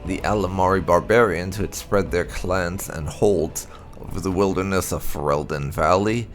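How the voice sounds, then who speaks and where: A man narrating game lore as a gaming host, in a measured storyteller's manner with emphatic phrasing. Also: low voice, soft background music. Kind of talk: reading game lore aloud as scripted narration.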